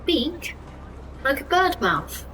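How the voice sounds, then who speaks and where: A woman playing a young orphan boy in a period audio drama, earnest and plaintive. The voice is high and sharp, a little away from the mic. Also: big pitch swings, takes it slowly, far from the mic, a little hiss or hum, full character voice, low-quality recording. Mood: timid, polite.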